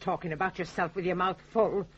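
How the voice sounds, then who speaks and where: An actress playing a bossy, sharp-tongued middle-aged mother in a radio drama, brisk and nagging, with a Northern English accent. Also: lowish voice, steady tone, breathy edge. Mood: withering, cross. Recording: dull 1980s radio drama recording.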